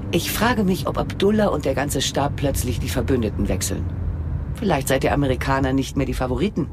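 Low-voiced, self-assured frank woman. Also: animated, a little fast, noisy background. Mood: probing. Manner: probing, frank. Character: self-assured, frank Saudi woman doctor, adult mother. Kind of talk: radio drama acting.

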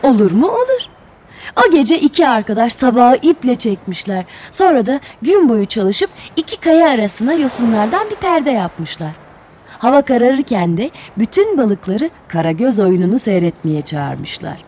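A woman tells a children's fairy tale in a high voice. Her delivery is expressive and very melodic, with faint noise behind her. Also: normal speed. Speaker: storyteller.

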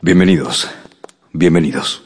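An actor playing a courteous, reserved wealthy gentleman with formal, polite speech in a radio drama. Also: a bass voice, unhurried, a little hiss or hum.

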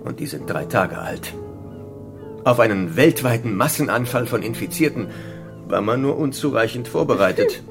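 An actor playing an affable, eccentric doctor in a radio drama, speaking in a polite, soothing, slightly pompous way. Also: male voice, medium pitch, animated, normal speed, over music, close to an everyday voice. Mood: grave. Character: Olaf, affable, eccentric plastic surgeon acting as island doctor. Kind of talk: German radio drama acting.

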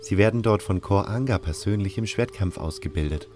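A man's voice: fairly low pitch, a little fast, a little mellow, faint music behind, natural voice. A man voicing a helpful, easygoing novice who explains things as a guide in a fantasy audio drama. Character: helpful, easygoing male novice guiding a newcomer.

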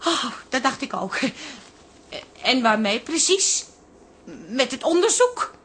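High-voiced, anxious, sharp-tongued woman. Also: very animated, takes it slowly, slight background noise, heavily acted. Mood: sardonic. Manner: sardonic, chattering, blunt. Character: anxious, sharp-tongued woman hiding fear behind jokes. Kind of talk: Dutch radio drama acting.

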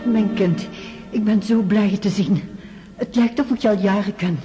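A woman's voice: mid-range voice, normal speed, highs cut off, music in the background, lightly acted. An actress playing a kindly, flustered noblewoman in a radio play, effusive in welcome and hesitant when worried. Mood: welcoming. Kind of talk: Dutch radio play acting.

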